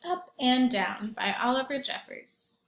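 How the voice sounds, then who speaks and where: A woman reading a storybook aloud to young children like a teacher, expressively, pausing for simple questions and comments. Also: high-pitched, animated, vocal fry, a bit bright, a little hiss or hum. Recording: roomy echo.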